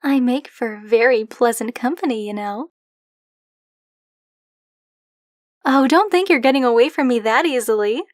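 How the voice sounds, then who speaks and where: A woman's voice: on the high side, fairly quick, no room sound, studio quality, natural voice. A woman playing a flirty, possessive dragon-blooded librarian in an ASMR roleplay, speaking to the listener in a teasing, coy, insistent manner. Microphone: close, dry microphone.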